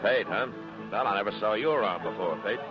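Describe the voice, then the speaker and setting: An actor playing a steady, weary frontier marshal in a radio Western, with a measured, probing, laconic manner. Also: male voice, lowish voice, close to an everyday voice. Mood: curious, dry. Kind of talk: 1950s radio Western acting with first-person narration. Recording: dull vintage radio recording with music.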